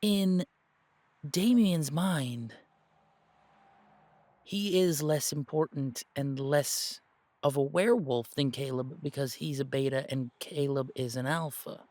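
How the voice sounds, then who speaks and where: A man acting an earnest werewolf pack member in an audio drama, reasoning aloud in an emphatic, halting, explanatory way. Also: fairly high pitch, darkish tone, no room sound. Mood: realizing, explanatory. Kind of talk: dramatic audio roleplay dialogue.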